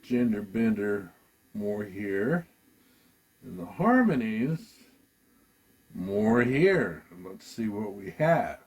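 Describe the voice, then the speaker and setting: A man giving a casual, halting gear demo, thinking aloud as a musician at work. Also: lowish voice, very animated, breathy edge. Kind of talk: casual product demo talk.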